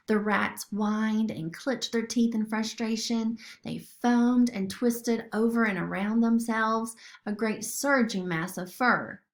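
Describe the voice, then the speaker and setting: A woman narrating a children's story expressively, as a storybook reader. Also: medium pitch.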